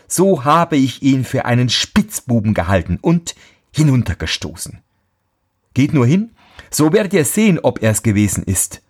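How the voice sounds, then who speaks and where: A man's voice: medium pitch, voice pushed up. A man voicing a naive, fearless young lad in a storybook fairy tale.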